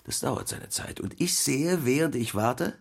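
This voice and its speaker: Teasing orthodox husband, animated storyteller, medium pitch, husky, radio play. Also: male voice, measured pace. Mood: animated, amazed. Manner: theatrical anecdote telling with quoted dialogue.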